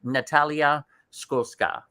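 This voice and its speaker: A man co-hosts a chat show in a bright, forward voice. He asks questions in a loose, conversational way, circling back on his own phrases and dropping quick agreements. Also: on the high side, fairly even intonation, smooth, dry recording. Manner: repeats phrases, affirms a lot. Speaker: co-host. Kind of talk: casual talk show interviewing.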